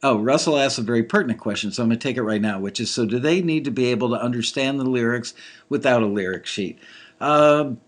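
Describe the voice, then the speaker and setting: Casual man with a slightly gravelly voice. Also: mid-range voice, quick pace. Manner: casual, thinking aloud with many fillers. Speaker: music industry reviewer critiquing listener songs. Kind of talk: live teaching commentary.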